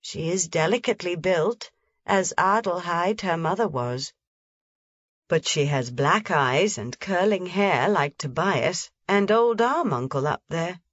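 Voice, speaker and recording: A woman's voice: fairly low pitch, expressive, unhurried, no echo. Woman reading as a matter-of-fact village woman. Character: plain-spoken grown woman, a neighbor. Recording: slightly dull, dry lo-fi recording.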